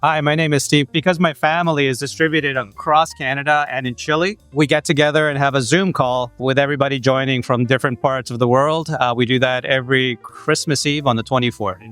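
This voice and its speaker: Man, plain and matter-of-fact, smooth resonant tone, music bed. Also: medium pitch, steady tone, fairly quick, hardly any pauses, fairly bright tone. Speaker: guest sharing a tradition.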